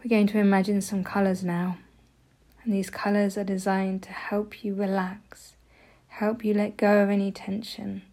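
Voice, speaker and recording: Female meditation guide, mellow and breathy, with an even, barely moving pitch and soothing, gentle instruction. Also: normal speed. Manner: soft, gentle delivery, often breathy.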